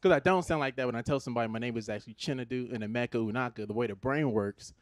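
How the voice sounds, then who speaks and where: A man from Los Angeles in his thirties with an American accent, performing a stand-up comedy set on a theater stage into a handheld mic, animated and conversational. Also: mid-range voice, rapid-fire, dry recording, clean studio sound. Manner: animated, conversational, switches into put-on Nigerian voices for his parents.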